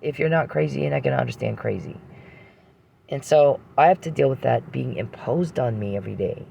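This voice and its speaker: Very gravelly, emphatic man talking off the cuff. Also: mid-range voice, a little mellow, slight background noise. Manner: emphatic, repetitive, halting with restarts, swearing. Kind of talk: unscripted personal monologue.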